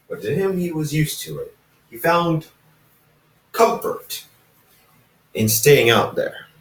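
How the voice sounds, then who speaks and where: A man reading a story aloud informally, halting and casual, with dramatic pauses and asides to listeners. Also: on the high side. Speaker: fan fiction narrator reading own recording. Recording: roomy, echoey, distant microphone.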